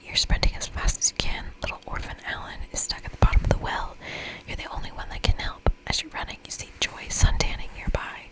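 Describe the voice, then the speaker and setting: A woman whispering in a playful, expressive ASMR style as she reads aloud and comments on a game. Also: brisk, rough recording. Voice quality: whispered, breathy. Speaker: ASMR creator playing through a visual novel game.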